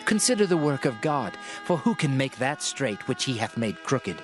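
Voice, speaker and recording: A man giving a dramatized reading of King James scripture in a solemn, measured, deliberate way. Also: mid-range voice, sing-song. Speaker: scripture narrator. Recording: music behind the voice. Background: music.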